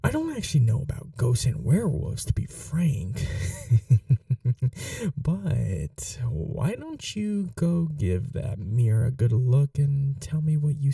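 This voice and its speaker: A performer acts out an obsessive, courteous vampire in an intimate ASMR roleplay. The voice is gravelly and unhurried, coaxing in soft murmurs that slip toward whispers. The sound is dry, with a quiet menace beneath the politeness. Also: mid-range voice, no echo, far from the mic, exaggerated voice. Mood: sly, amused.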